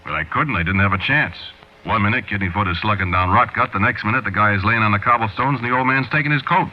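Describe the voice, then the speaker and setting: A man's voice: on the low side, fast talker, slight background noise, close to an everyday voice. An actor playing an uneasy American hard-luck man of the streets, telling his story in world-weary slang, in 1940s radio style. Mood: grim, uneasy. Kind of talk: 1940s radio acting, first-person narration. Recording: dull 1940s radio recording with music.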